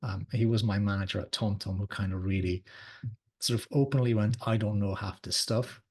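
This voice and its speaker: A man in his forties, a technology advisor chatting casually in an interview, relaxed with lots of fillers. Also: on the low side, brisk, husky. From Belgium.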